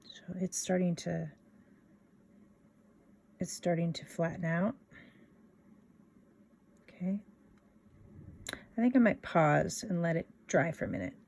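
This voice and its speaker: A woman guides a craft tutorial in a slow, slightly low voice. Her tone has a lot of fry in it. She is informal and thinks aloud as she works through each step. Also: darkish tone, a little hiss or hum.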